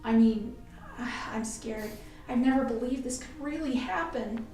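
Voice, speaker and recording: A woman's voice: on the high side, measured pace, darkish tone, close to an everyday voice. A woman playing an earnest, nervous medical technician in a radio play. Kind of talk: amateur radio play acting. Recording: very echoey room, distant, faint music.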